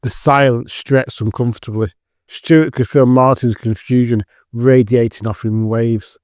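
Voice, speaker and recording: A man's voice: mid-range voice, fairly even intonation, a little slow, a little mellow, no echo. Man narrating drama, close dry sound. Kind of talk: scripted audio drama narration.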